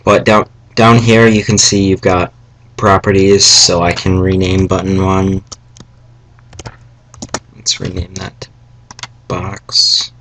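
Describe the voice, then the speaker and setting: Young man, slightly raspy, low and monotone, slow rambling tutorial delivery; faint room noise and clicks. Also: rough recording. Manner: rambling, halting, with fillers and asides. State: slightly raspy from salty food. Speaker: tutorial maker. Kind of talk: casual screen-recorded coding tutorial. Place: home room, family noise around. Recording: faint background noise, keyboard and mouse clicks.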